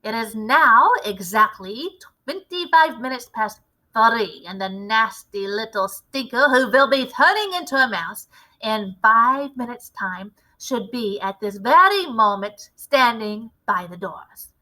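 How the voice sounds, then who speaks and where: A woman voicing an imperious, gloating Grand High Witch with a theatrical, deliberate, commanding delivery in a storybook reading. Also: expressive, bright, forceful tone, poor audio. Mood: sly, scornful.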